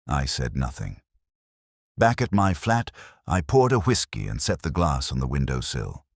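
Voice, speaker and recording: Very deep-voiced man narrating a detective story. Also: no echo, clean studio sound. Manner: measured, literary, reflective. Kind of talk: scripted first-person detective story narration.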